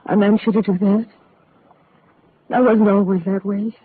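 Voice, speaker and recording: A woman playing a troubled, wistful married woman in distress, speaking softly and mournfully in 1940s radio acting. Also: mid-range voice, fairly even intonation, measured pace, slightly rough. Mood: hushed, wistful. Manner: soft, hushed, mournful. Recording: tinny old radio broadcast, faint hiss.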